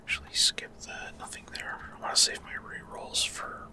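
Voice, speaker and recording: A person whispering unscripted ASMR commentary over a video game, explaining things in a hesitant way. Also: normal speed.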